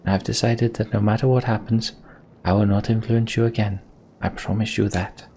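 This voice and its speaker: A man voicing an earnest, devoted sorceress in a fantasy video game, measured and sincere. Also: lowish voice, level tone, mellow, a little hiss or hum, close to an everyday voice. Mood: earnest, tender. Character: earnest, devoted female mender sorceress, solemn.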